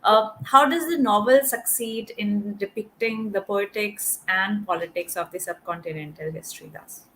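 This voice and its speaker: A woman moderating a virtual book discussion, asking questions politely with some hesitant pauses. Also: mid-range voice, a bit bright, slight background noise, low-quality recording.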